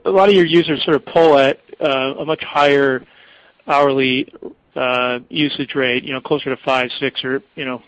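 A man's voice: mid-range voice, fairly bright tone, no room sound, low-quality recording. Hesitant male analyst, dry close sound. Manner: hesitant, winding phrasing. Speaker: financial analyst caller. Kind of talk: asking questions on an earnings call.

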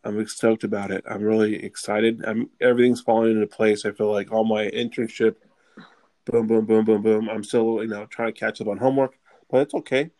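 A man's voice: on the low side, little pitch movement, fairly quick, dark tone. A young man co-hosting a casual student chat, laid-back and joking, chuckling often. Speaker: podcast co-host.

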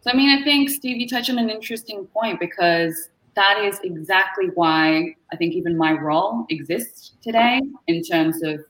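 A woman's voice: lowish voice, normal speed, hardly any pauses, fairly bright tone, rough recording. A woman with an Australian accent, chatting as an upbeat guest on an interview show, with lots of fillers. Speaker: guest, partner manager. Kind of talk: casual interview chat.

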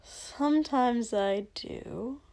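Storybook role: erratic, taunting young man with a venomous edge, slowly paced, performed by a woman. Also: a little high. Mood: eerie, dazed. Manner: erratic, swinging from hissed and dreamy to sharp and snapping. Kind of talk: dramatic audiobook-style character reading.

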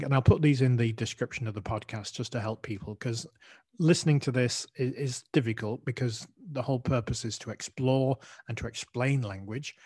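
A man's voice: medium pitch, a little mellow. A man hosting a language lesson podcast, explaining expressions carefully and spelling out words letter by letter. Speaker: podcast host, English teacher.

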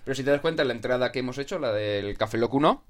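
A man's voice: medium pitch, fast talker. A man with a Spanish accent co-hosting a podcast in casual, joking chat. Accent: Spanish from Spain. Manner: swearing, laughing banter. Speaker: podcast co-host.

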